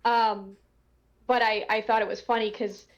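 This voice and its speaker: A woman's voice: on the high side, little pitch movement, a little slow, highs cut off. A woman giving casual panel commentary in a hesitant, tentative way, often trailing off into asides. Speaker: audio drama cast or crew member giving commentary.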